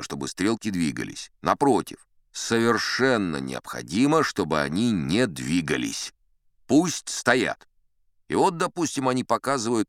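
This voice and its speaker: Deep and richly inflected, this is a pompous old sea captain telling tall tales for an audiobook. He digresses like a self-assured scholar, with big swings in intonation, in a close, dry recording. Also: dry recording. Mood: self-satisfied, explaining. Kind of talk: theatrical audiobook storytelling.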